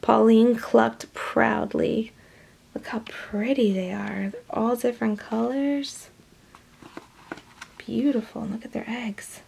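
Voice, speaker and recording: A woman reading a storybook aloud to young children in an expressive, animated way, with hushed asides. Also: on the high side, slow pace, vocal fry, slightly rough. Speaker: storybook reader.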